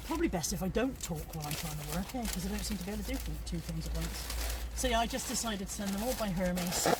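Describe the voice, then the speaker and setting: A woman with a gravelly voice, tired and hot, chatting casually to camera for her vlog. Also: mid-range voice, lively intonation, fast talker, background noise. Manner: chatty, self-deprecating, rambling. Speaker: vlogger. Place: parked car.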